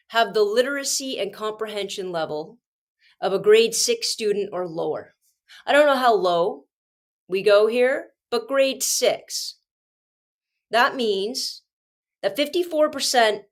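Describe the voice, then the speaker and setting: Passionate, congested Canadian woman host. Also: fairly high pitch. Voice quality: screechy when agitated. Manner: passionate, emphatic, restarts often. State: congested with a cold. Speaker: podcast host and teacher. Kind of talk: casual solo commentary.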